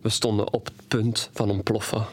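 An actor as low-voiced young man confiding forbidden love. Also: flat delivery, moderate pace, slight background noise, natural voice. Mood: tense, hushed. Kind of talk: audio drama monologue, intimate first-person storytelling. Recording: music playing behind the voice.

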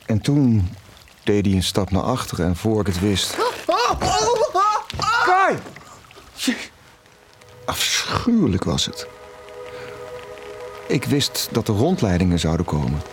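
Middle-aged father, confessional and tender, audio drama; lowish pitch, expressive swings, faint music underneath. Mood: anguished, recounting. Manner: halting, repeats words when emotional. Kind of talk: audio drama acting, intimate dialogue.

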